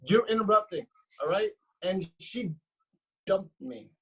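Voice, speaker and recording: A self-absorbed, moneyed young man, played by a male actor in a comedy script read. His voice sits on the high side for a man and has a husky grain. He delivers his lines with petty, dramatic indignation. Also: lively intonation, unhurried, fairly bright tone, heavily acted, poor audio. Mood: bitter, indignant. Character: spoiled, rich young man nursing petty grudge. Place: recorded remotely at home on a video call.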